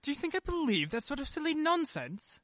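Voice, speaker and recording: A man's voice: very high-pitched, sing-song, brisk, a bit bright, no room sound, clean studio sound, exaggerated voice. Man playing an evasive, easily rattled husband. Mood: indignant. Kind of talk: radio play acting.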